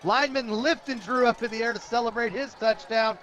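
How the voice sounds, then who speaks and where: An American man doing live radio play-by-play of a football game in an energetic, conversational way. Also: extremely high pitch, a little fast, muffled recording. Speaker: radio play-by-play sports announcer. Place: outdoors at a high school football game. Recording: outdoor stadium broadcast with crowd noise. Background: crowd at a stadium.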